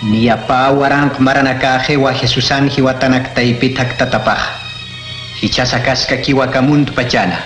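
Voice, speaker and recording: Hombre de voz media, lectura pausada. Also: male voice, mid-range voice, steady tone, a bit bright, roomy sound, faint music behind.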